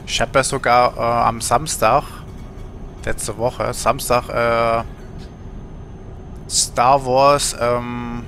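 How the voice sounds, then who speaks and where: A man's voice: mid-range voice, flat delivery, extremely slow, creaky, pauses often, fairly bright tone, slight background noise. A middle-aged man chatting casually and musingly on a gaming livestream from home, in colloquial speech. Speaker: game livestreamer. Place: indoor home streaming setup.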